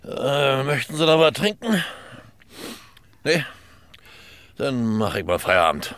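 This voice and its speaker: A man's voice: medium pitch, big pitch swings, slow pace, fairly bright tone, dry recording. A man playing a world-weary, plain-spoken middle-aged barkeeper in a radio drama. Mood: matter-of-fact.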